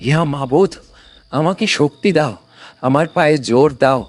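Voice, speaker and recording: A man playing a pious, shrewd, kindly old man in a dramatic cartoon story, speaking in a deliberate, theatrical way. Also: on the high side, close to an everyday voice. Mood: anxious, praying. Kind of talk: dramatic animated moral story.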